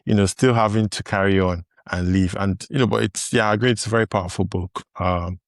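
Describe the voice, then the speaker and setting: A man with a fairly low voice hosts a relaxed podcast chat. He talks thoughtfully, circling back with fillers and restarts and laughing easily. The sound is dry and close. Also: fairly quick, no echo. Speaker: podcast host. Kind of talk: casual conversation.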